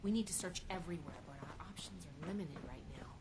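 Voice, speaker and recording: A woman's voice: lowish voice, fast talker, noisy background, natural voice. A woman playing a focused, businesslike soldier companion in a video game, practical and matter-of-fact.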